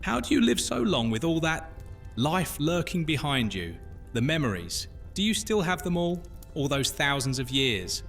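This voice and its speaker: A man's voice: on the high side, sing-song, moderate pace, a bit bright. An actor playing a curious, chatty half-witch newcomer in an audio drama, inquisitive and informal. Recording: music under the voice.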